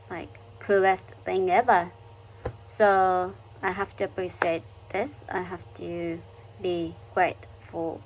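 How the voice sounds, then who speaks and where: Slow, soft-spoken Japanese-accented woman. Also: on the low side, slight background noise, poor audio. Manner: thoughtful, searching for words with many fillers and restarts. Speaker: vlogger. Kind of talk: reflective personal vlog talk. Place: living in London.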